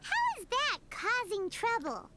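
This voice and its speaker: A woman voicing a small, childlike fairy companion in a video game, squeaky, earnest and excitable, with exclamatory delivery. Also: measured pace, a little hiss or hum, heavily acted. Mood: puzzled. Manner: refers to self in third person, exclamatory. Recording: game audio under loud music.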